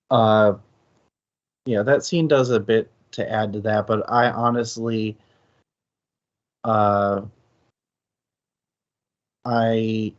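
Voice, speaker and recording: A man's voice: fairly low pitch, lo-fi sound. A man in a casual book discussion, talking as a host in a hesitant, conversational way with asides.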